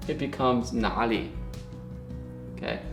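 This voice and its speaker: A man teaching a beginner Mandarin lesson at a whiteboard, upbeat and encouraging, often checking in with "okay?" and slowly repeating words for learners. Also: mid-range voice, gravelly, over music.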